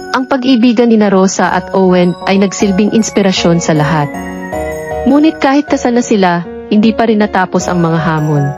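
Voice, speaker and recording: A woman narrating a scripted romance story in a measured, sentimental storybook delivery. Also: mid-range voice. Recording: dull lo-fi audio with background music. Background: music.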